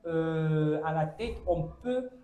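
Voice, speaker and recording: A man's voice: fairly high pitch, animated, slow pace, warm tone, lo-fi sound. A man, chatting as a guest on a campus show, hesitant, with many fillers. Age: 30s. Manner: hesitant, many fillers, acts out quoted speech. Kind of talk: casual debate on a campus show. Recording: echoey room.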